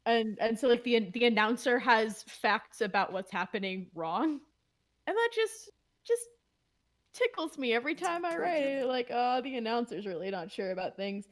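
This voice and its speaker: A woman's voice: fairly high pitch, lively intonation, normal speed. A woman who writes the show, chatting casually in a Q&A with many fillers and restarts. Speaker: podcast writer. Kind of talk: casual Q&A chat.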